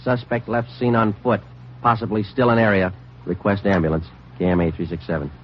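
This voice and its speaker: Terse American male detective, played by an actor, low-pitched, deadpan, clipped, weary, old-time radio drama, dulled vintage recording. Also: a little fast, a little hiss or hum, lightly acted. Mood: urgent, commanding. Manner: clipped, matter-of-fact, deadpan. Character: terse, weary police detective sergeant and narrator. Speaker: lead actor and narrator. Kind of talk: 1950s radio police drama acting. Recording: muffled old radio recording, music behind.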